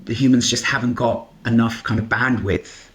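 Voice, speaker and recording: A man, a native Bengali speaker, guest on a podcast interview, explaining at length in halting speech full of restarts and fillers. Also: medium pitch, fairly even intonation, a bit bright. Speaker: guest, AI company co-founder.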